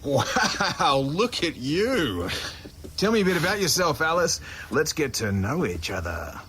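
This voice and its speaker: An actor with an Australian accent playing a cocky older brother who needles and mocks in matey slang, in a naturalistic audio drama. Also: male voice, fairly high pitch, big pitch swings, unhurried, a bit bright, a little hiss or hum. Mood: mocking, teasing. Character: cocky taunting older brother, a grown man.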